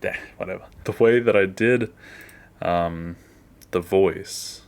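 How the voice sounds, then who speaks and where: Low-voiced man, offhand and casual. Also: takes it slowly, creaky. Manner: offhand, self-deprecating, trailing off. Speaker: animator, video creator. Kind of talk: casual behind-the-scenes screen walkthrough.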